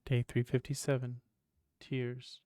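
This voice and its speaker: A man's voice: mid-range voice, completely flat delivery, takes it slowly, mellow, no room sound, studio quality. A man giving casual live commentary as he streams a game.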